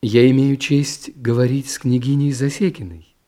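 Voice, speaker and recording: A man's voice: medium pitch, fairly even intonation, normal speed, dark tone, natural voice. A man playing a shy, flustered boy of sixteen in a story reading, hesitant and very polite. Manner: hesitant, deferential.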